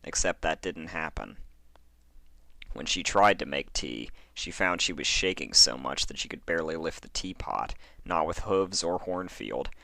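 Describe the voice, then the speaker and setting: A man narrating a scripted horror story in a theatrical, expressive way. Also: extremely low pitch, moderate pace, gravelly, no room sound. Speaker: fan fiction narrator.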